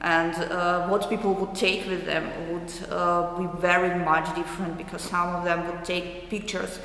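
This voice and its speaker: Young ukrainian woman, kinda low voice, lots of uh pauses, big echoey room. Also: level tone, a bit bright. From eastern Ukraine. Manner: hesitant, many filler pauses. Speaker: artist interviewee. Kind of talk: reflective interview answers. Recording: roomy hall with long echo.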